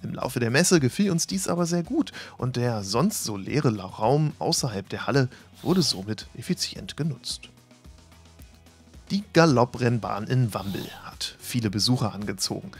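A man with a slightly husky, mid-pitched voice narrates a scripted event report. His delivery is lively, with a wide, animated pitch range. A faint music bed plays under the voice-over. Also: moderate pace.

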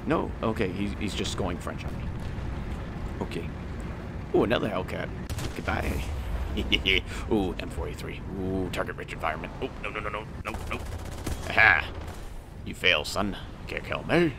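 A man with a hoarse, failing voice giving playful, excited casual commentary on a game, with mock-military banter. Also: deep voice, moderate pace, long pauses. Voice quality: hoarse, strained voice. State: losing his voice. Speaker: gaming video creator. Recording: noisy, game gunfire and engines behind. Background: tank game gunfire and engine noise.

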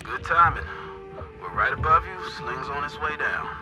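An actor playing a steady, watchful male salvage boss in a video game, giving businesslike check-ins to a diver. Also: fairly high pitch, moderate pace, over music, lightly acted. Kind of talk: naturalistic video game voice acting. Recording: clear boat and water noise behind.

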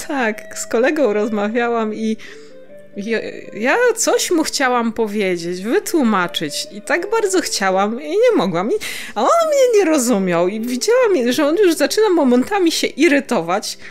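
A woman's voice: on the high side, very animated, a little slow, faint music behind. A woman chatting casually and reminiscing as a podcast co-host, giggly and a little hesitant, letting sentences trail off.

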